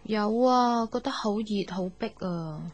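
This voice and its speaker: A woman playing a gentle, affectionate young daughter in a radio drama, speaking conversational Hong Kong Cantonese. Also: mid-range voice, unhurried, natural voice. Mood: affectionate, chatty. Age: young adult. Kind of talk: radio drama monologue and dialogue.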